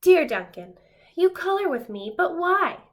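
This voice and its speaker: Woman as a plaintive, neglected storybook crayon, high-pitched. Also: sing-song, a little slow, heavily acted. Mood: forlorn.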